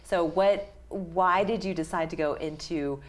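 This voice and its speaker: A woman's voice: fairly low pitch, a little slow. A woman interviewing guests in conversation on a TV news show, with an enthusiastic, reactive manner. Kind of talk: conversational live studio interview. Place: TV news studio.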